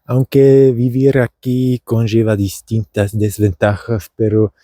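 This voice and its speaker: Man, Argentine accent learned abroad, meandering theatrical monologue, very dark tone, dry sound. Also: mid-range voice, level tone, takes it slowly, no room sound. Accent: Argentine Spanish learned by a German speaker. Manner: meandering, theatrical, with many fillers and restarts. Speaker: language teacher and podcaster. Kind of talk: rambling comedic monologue. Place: outdoors at dusk.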